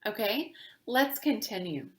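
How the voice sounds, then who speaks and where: A woman reading a children's book aloud in a deliberate, teacherly way, pausing to speak to young listeners. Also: medium pitch, very animated, echoey room, slight background noise. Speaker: reader of children's books for a virtual summer camp. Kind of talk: read-aloud storytelling with asides to children.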